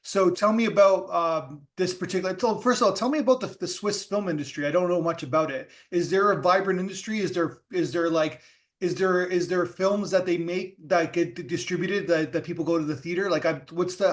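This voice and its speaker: A male podcast host with a slightly high voice chats casually with his guest. His questions wander and restart often, loose and conversational. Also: brisk. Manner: rambling questions with many restarts. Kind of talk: casual interview chat.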